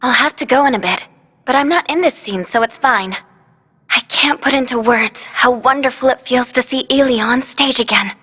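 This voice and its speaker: A woman's voice: high voice, normal speed, bright, forceful tone, husky, big-room sound, heavily acted. An actress playing a thoughtful, composed young female teammate in a video game cutscene. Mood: composed, glad. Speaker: voice actor.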